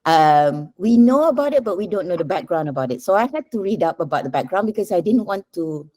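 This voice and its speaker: A woman with a Malaysian accent answers interview questions in a casual, explanatory way. Her voice is quite low and very soft-edged and dark. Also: animated, brisk. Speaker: writer, podcast guest.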